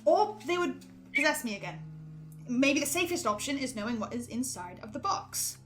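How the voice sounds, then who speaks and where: Audio drama archivist, male role played by a woman: high, bright, anxious, self-doubting, prone to stammering. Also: sing-song, moderate pace, faint music behind. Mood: uneasy. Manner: stammering, nervous, breaking into hesitations.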